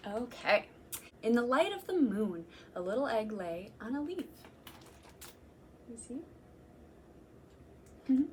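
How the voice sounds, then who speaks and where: A young woman, a children's librarian, reading a picture book aloud to children in a gentle, slow, sing-song way and counting along. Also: fairly high pitch, very animated, a little hiss or hum. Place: library room.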